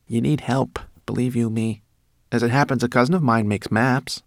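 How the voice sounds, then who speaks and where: A man's voice: mid-range voice, steady tone, normal speed, gravelly, no room sound, studio quality, pitched above the performer's own voice, natural voice. A man voicing a fussy, anxious, know-it-all female rat in a storybook, speaking insistently. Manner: fussy, insistent, stammering when flustered.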